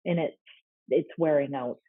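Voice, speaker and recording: A woman's voice: sits low, normal speed, breathy edge, no room sound. A woman joining a video call, talking thoughtfully with hesitant restarts. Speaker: call-in participant. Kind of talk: casual chat. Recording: tinny, dry phone-like call audio.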